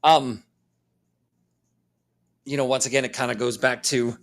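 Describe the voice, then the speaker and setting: A man's voice: mid-range voice, level tone, unhurried, fairly bright tone. A man from Hawaii co-hosting a podcast, talking in a reflective, meandering way with lots of fillers. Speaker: podcast co-host. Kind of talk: casual chat.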